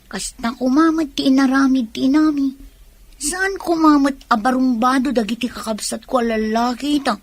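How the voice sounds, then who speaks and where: A high-pitched, youthful female voice from a radio drama, acted by a woman. She plays an earnest student who confides wistfully, and the sound is close and dry. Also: steady tone, moderate pace, no room sound. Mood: wistful, confiding.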